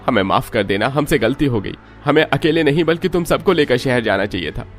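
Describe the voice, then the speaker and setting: A man's voice: mid-range voice, animated, fairly quick, faint music behind, pitched above the performer's own voice, close to an everyday voice. A man voicing a shocked, protective, emotional young husband in a cartoon. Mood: remorseful, urgent. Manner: urgent, emotional.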